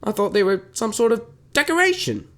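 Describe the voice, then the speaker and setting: A woman's voice: fairly high pitch, very animated, a bit bright. A woman playing a dim-witted, easily confused carriage driver turned kidnapper in an amateur radio drama, with exaggerated delivery. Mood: defensive, baffled. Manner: exaggerated, slow on the uptake.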